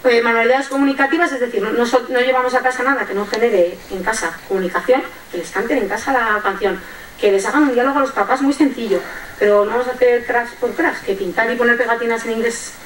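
Woman, Spain Spanish accent, slightly high voice. Colloquial teacher presentation, roomy sound with faint noise. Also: fast. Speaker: primary school English teacher presenting. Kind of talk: informal conference presentation. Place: lecture room with audience, indoors. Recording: echoey room, distant, faint noise.

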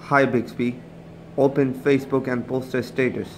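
A man presents a casual gadget demonstration. His voice sits at a middle pitch. It is picked up on a phone, with faint noise around it. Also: fairly even intonation, normal speed, poor audio. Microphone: handheld phone. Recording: noticeable background noise, phone-recorded.

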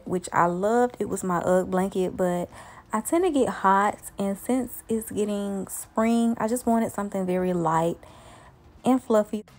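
A young woman narrating her cleaning routine in a relaxed, chatty way, with many casual fillers. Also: medium pitch. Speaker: lifestyle vlogger.

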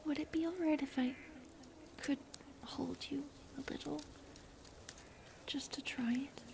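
A woman voicing a gentle, lonely, eerie forest spirit in a breathy, whispered ASMR roleplay, slow and wistful. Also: high voice, fairly even intonation, slight background noise. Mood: shy, tender.

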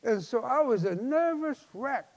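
A man in his seventies, a pastor preaching a personal testimony in an emphatic, halting, folksy way. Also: very high voice, lively intonation. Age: 70s. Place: large church sanctuary, at a lectern. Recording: long hall reverb.

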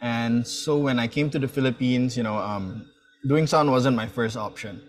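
A man speaks informally as an instructor introducing a class, haltingly, often repeating words. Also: medium pitch. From Malaysia. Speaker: sound design instructor and mentor. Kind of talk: informal introductory talk at an online open house. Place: indoor room, on a video call.

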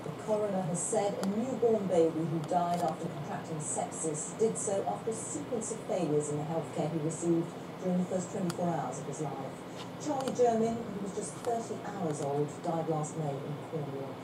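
A woman reads broadcast news as a presenter. Her voice sits a little low for a woman. It is heard from a distance in an echoey room, with noise behind it. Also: moderate pace. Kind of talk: scripted broadcast news reading. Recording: distant, roomy playback with loud background noise.

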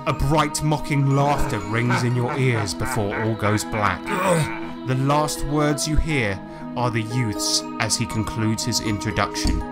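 A man narrating a tabletop game as game master, reading dramatic descriptions in a measured, scripted way. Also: mid-range voice. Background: music.

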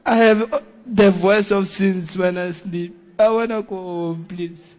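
Young man with a high voice, halting and pleading in front of a congregation. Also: fairly even intonation, darkish tone. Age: young adult. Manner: halting, pleading, broken English. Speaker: congregant receiving deliverance. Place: church service before an audience.

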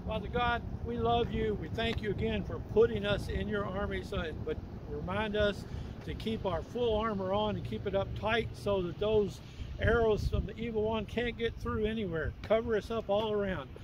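A man's voice: high-pitched, moderate pace, noisy background. A man in his sixties giving an earnest devotional reading and prayer, projecting his voice outdoors. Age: 60s. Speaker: lay Bible teacher and reader. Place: outdoors in a church parking lot.

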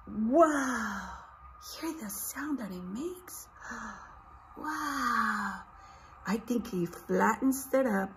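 A woman's voice: mid-range voice, slight background noise. A middle-aged woman teacher reading a picture book aloud, expressively, putting questions straight to young children. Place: indoors, small room with posters and books.

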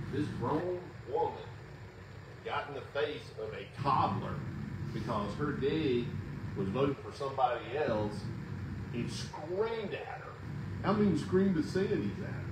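A man preaching an earnest, conversational sermon in a small church, sometimes rising to exclamations. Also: medium pitch. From Southern US. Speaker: pastor. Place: small church sanctuary, indoors. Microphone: lapel mic. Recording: roomy, distant, with loud hum.

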